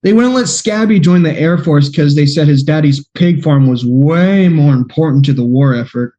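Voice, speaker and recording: A man playing a fretful private detective in a radio play, flustered and quick to exclaim. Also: on the high side, mellow, lightly acted.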